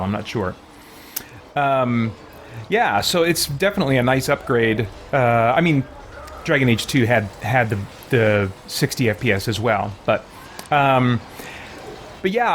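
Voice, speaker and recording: Man chatting casually over clear background noise. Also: mid-range voice. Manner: rambling, thinking aloud. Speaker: live streamer hosting a game playthrough. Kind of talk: casual livestream chat.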